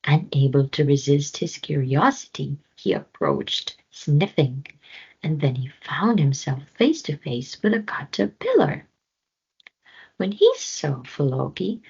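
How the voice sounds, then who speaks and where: A woman narrates a children's storybook in a low, creaky voice. Her phrasing is deliberate and very animated, and the recording is slightly dull. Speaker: storybook reader. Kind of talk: children's read-aloud narration.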